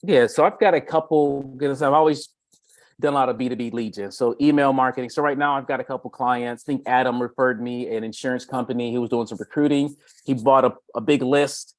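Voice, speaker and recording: A man's voice: medium pitch, steady tone, fairly quick, dry recording. A middle-aged man talking casually and off the cuff about his work, often doubling back and repeating phrases. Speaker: B2B marketing consultant. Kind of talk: casual, unscripted self-introduction in a meeting.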